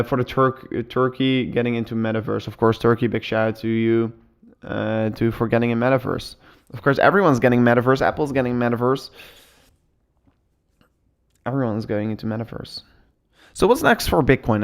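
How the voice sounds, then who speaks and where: A young man hosting a live cryptocurrency stream in casual chat, speaking English as a learned second language, excitable and full of repeats and restarts. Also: mid-range voice. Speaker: crypto livestream host.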